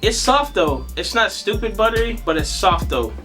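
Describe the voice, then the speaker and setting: A man with a somewhat high voice, talking in a slangy, laid-back way as he unboxes sneakers for viewers. His voice is a little raspy from a cough, and he sounds under the weather. Soft music plays quietly behind him. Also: sing-song, normal speed. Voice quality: slightly raspy. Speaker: sneaker vlogger. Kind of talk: casual unboxing vlog.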